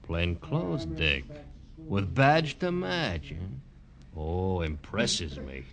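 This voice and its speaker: A man's voice: low-pitched, sing-song, drawn-out words, vocal fry, crowd noise, exaggerated voice. A man playing a wisecracking, sarcastic flophouse desk clerk with an American accent in a 1950s radio drama, streetwise and mock-formal. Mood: sardonic.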